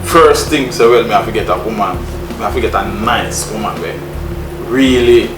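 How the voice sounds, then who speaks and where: A man's voice: on the low side, normal speed. Laughing Jamaican man in an echoey room. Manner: laughs often, repeats phrases. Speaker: guest. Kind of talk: casual conversation. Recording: echoey room, distant mic, music.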